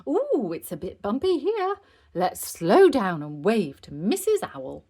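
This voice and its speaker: A woman's voice: medium pitch, wildly swooping pitch, measured pace, mellow, voice pushed up, exaggerated voice. A woman voicing an enthusiastic female animal playgroup teacher in a storybook, theatrical and encouraging.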